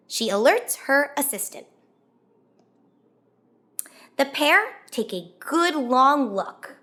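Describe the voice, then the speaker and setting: A woman reading a children's picture book aloud as a storyteller, expressively and with drawn-out emphasis on key words. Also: fairly high pitch, a bit bright. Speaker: children's read-aloud host.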